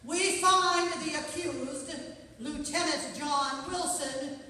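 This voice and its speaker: A woman's voice: high-pitched, slow, bright, forceful tone, exaggerated voice. A woman playing a stern military court officer in a radio drama, formal and declamatory. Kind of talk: stage radio drama acting. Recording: echoey hall from far off, noisy.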